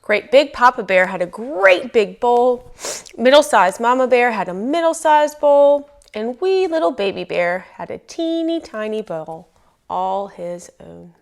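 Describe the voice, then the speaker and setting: A woman reading a children's storybook aloud as storyteller, expressive and emphatic, lingering on phrases. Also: fairly high pitch, unhurried.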